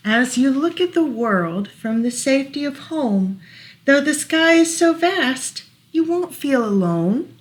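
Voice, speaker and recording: A woman with a slightly high voice reads picture books to little children. She takes her time and explains things gently, in the manner of a library storytime. There is some noise behind her voice. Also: expressive, slow pace. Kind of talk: read-aloud picture books for small children.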